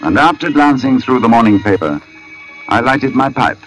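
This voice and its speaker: An actor playing a brooding, haunted middle-aged artist in an old-time radio suspense drama, narrating in formal, deliberate phrases with dramatic pauses. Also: male voice, mid-range voice, animated, faint music behind, close to an everyday voice, rough recording. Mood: calm, reflective. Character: brooding forty-year-old male artist, haunted, precise, foreboding. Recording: vintage radio broadcast recording.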